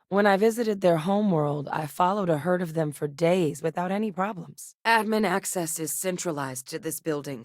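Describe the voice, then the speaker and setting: An actress as a low-voiced, earnest military scientist woman. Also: darkish tone, no echo, clean studio sound, natural voice. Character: determined, professional military scientist woman in a sci-fi world. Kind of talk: scripted video game character dialogue.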